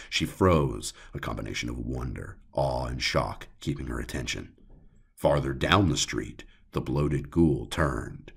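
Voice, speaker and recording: Extremely deep, gravelly male narrator. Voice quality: gravelly, husky. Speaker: audiobook narrator. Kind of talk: scripted horror story narration.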